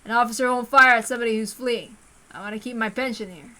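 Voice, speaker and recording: A woman voicing a shrewd, unruffled male police detective, calm and dry, in a story reading. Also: on the high side, fast talker. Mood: dry, composed.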